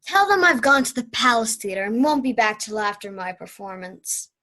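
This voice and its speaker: A woman's voice: on the high side, sing-song, normal speed, sharp tone. A young woman playing an eccentric, dramatic old aunt and former vaudeville performer in an amateur radio play, theatrical and grandly reminiscing. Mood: haughty, theatrical.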